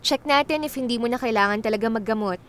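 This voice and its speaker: A woman playing a kind, composed doctor who was once a star, speaking gently and conversationally in a radio drama. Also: a little high, quick pace, a little hiss or hum, close to an everyday voice. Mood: caring.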